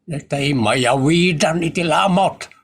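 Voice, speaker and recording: A man playing a grumbling old father and exasperated husband in a comedy audio drama, with drawn-out exclamations. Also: on the high side, husky, heavily acted. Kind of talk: Ilocano comedy radio-style drama acting. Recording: noticeable background noise.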